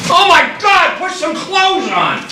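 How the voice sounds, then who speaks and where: A man playing a brash, excitable, wisecracking new father in a comic staged reading, recorded at a distance in an echoey room. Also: very high voice, takes it slowly, noisy background, heavily acted. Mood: excited. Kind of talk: staged reading comedy acting.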